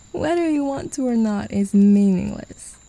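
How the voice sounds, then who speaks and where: A woman voicing a possessive, mocking demon in an ASMR roleplay, speaking in a condescending, teasing way with soft whispers. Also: mid-range voice, animated, takes it slowly, vocal fry. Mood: taunting. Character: mocking, possessive demon who claims a human as a pet.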